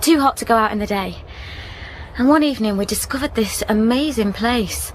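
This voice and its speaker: A young widow in a naturalistic radio play, played by an actress, with a fairly high voice. She is tender and curious, speaking softly and reflectively with small hesitations. Faint ambience sits behind her. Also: moderate pace, slight background noise, lightly acted, poor audio. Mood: wistful. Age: young adult. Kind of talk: naturalistic radio drama acting.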